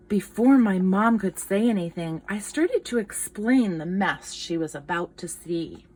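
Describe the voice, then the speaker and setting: Animated woman reading a storybook, unhurried. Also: a little high, poor audio. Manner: expressive, dramatic, with gasps and whispered asides. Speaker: art teacher reading a picture book aloud. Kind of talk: animated children's storybook read-aloud.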